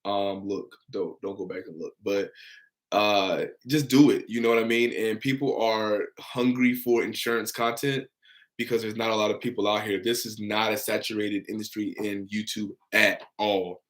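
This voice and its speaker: A man's voice: on the low side, fairly even intonation, normal speed. Upbeat man answering viewer questions. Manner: upbeat and encouraging, reads out and answers viewer comments. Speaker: insurance broker and livestream host. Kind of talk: casual livestream Q&A with viewers.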